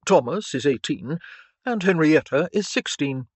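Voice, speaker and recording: A narrator voicing a reserved, formal gentleman, a father of teenagers, in an audiobook, speaking in a measured way. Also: medium pitch, animated, husky, dry recording. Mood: cautiously warm. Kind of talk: audiobook character dialogue.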